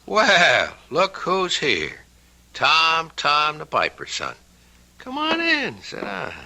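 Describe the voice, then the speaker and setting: Bright, gravelly, animated young newspaperman. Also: fairly high pitch, slow pace, slight background noise, heavily acted. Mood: surprised, breezy. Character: young, smooth-talking newspaper reporter, guarded and infatuated. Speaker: actor. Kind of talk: old-time radio drama acting.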